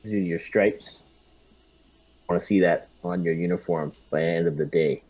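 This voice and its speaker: A man's voice: sits low, moderate pace, a little mellow, no echo, muffled recording, pitched below the performer's own voice. A man playing a curt marine lieutenant in a tabletop game, speaking in a clipped, commanding way. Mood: curt, official. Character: curt male marine lieutenant handing out promotions.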